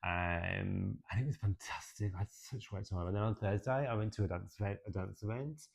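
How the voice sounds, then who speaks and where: A young man giving a casual, expressive tarot and astrology reading, chatty and swearing now and then, with "right?" tacked onto his points. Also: fairly low pitch, fairly quick, vocal fry, breathy edge. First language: English.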